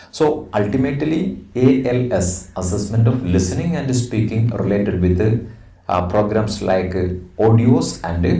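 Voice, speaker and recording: Slow, low-voiced Indian man teaching. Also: dark tone. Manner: deliberate, phrase-by-phrase delivery. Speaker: English teacher. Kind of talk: instructional lesson, reading slides aloud. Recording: roomy echo, distant microphone.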